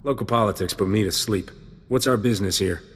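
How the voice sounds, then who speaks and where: An actor playing a cocky, flirtatious space smuggler in a video game, with a casual, roguish delivery. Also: male voice, fairly low pitch, brisk, breathy edge. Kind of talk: video game cutscene acting.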